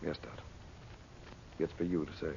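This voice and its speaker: An actor playing a brisk, commanding adventurer uncle with an urgent, clipped manner in a 1940s radio serial. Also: male voice, a bass voice, flat delivery, gravelly. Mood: grave. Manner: urgent, clipped, takes charge. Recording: muffled, noisy old radio recording.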